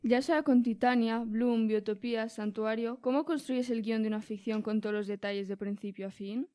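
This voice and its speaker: Student woman w/ reading out questions, mellow, a bit high. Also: steady tone, normal speed. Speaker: student interviewer. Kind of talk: prepared interview questions.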